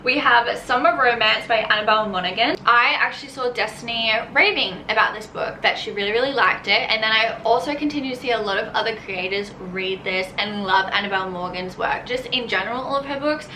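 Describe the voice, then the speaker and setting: A woman's voice: on the high side, brisk, hardly any pauses, bright, forceful tone, echoey room, slight background noise, rough recording. An Australian woman talking casually to camera as a book vlogger, bubbly and gushing.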